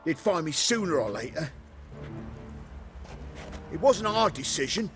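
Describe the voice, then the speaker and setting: An actor playing a brash, excitable shapeshifter who poses as a crime boss in a fantasy game. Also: male voice, on the high side, unhurried, over music. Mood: relieved, explaining. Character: shapeshifter posing as foul-mouthed crime boss. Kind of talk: video game dialogue.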